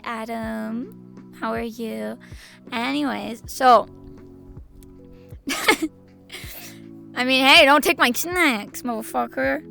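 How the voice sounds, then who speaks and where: A woman streamer chatting casually to her viewers, her voice hoarse and raspy, rambling with stop-start restarts. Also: a little high, fairly bright tone. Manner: rambling, stop-start, repeats herself, laughs often. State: hoarse, slightly lost voice. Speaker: VTuber streamer.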